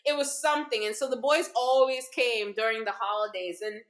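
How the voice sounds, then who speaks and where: A woman's voice: fairly high pitch, brisk. A woman in her thirties from Guyana who grew up partly in America, chatting casually and emphatically as co-host, repeating phrases for stress. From Guyana, grew up partly in the United States. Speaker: co-host of a cooking show. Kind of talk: casual warm conversation with her mother. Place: living room, seated on a sofa. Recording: roomy living-room echo.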